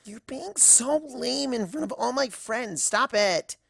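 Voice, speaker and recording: A woman's voice: fairly high pitch, expressive, slow, gravelly, breathy edge, dry recording, studio quality, voice pushed down, exaggerated voice. A woman voicing an embarrassed, sulky teenage pony daughter in a fan fiction reading, whiny and exasperated, drawing out her words.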